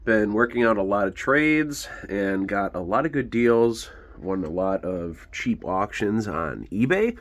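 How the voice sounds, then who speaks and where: Deep-voiced man, congested, casual. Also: animated, a little slow, few pauses. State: sick, congested. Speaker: host of a militaria collection channel. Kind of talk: casual show-and-tell narration.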